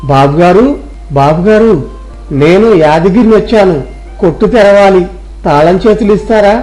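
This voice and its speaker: A man's voice: sits high, normal speed, warm tone, soft background music, lightly acted, low-quality recording. A man voicing an eager, honest young shop helper in a moral story, speaking respectfully and earnestly.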